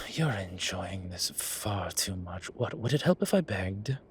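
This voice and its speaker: A man playing a flirtatious, cheeky incubus demon in an audio roleplay, talking in a teasing, suggestive way with drawn-out pauses. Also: low-pitched, lively intonation, a little hiss or hum. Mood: playful pleading. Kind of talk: audio roleplay acting, one-sided conversation.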